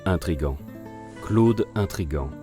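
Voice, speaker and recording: A man playing a suave, silver-tongued gentleman con artist with a flaming head in an audio drama, courtly and sprinkling in French phrases. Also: sits low, expressive, measured pace, faint music behind. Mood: courteous, charming.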